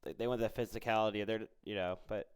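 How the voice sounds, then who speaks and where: A man's voice: on the low side, fairly even intonation, quick pace, crowd noise. A man hosting a casual interview, talking informally and often stumbling over and repeating words. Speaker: interviewer, podcast host.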